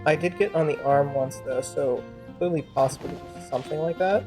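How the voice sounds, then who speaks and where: A woman's voice: deep voice, brisk. Wry woman streamer over faint music. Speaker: game streamer. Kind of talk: casual game commentary.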